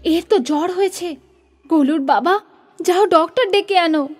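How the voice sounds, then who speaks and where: Casting: a soothing, worried mum in a horror cartoon, with a very high voice. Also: female voice, a little slow, darkish tone. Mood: caring, anxious. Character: anxious, soothing young mother of a little boy. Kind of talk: scripted horror cartoon story acting.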